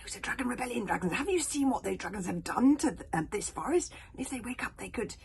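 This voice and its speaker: A woman's voice: fairly high pitch, lively intonation, quick pace, a little hiss or hum, voice pushed up. A woman voicing a chatty, cheeky young Viking girl who talks breezily.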